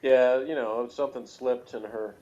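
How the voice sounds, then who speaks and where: A man's voice: medium pitch, fairly even intonation, normal speed, mellow, muffled recording. A man co-hosting a review show in casual, joking chat with a teasing manner. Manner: teasing, jokey.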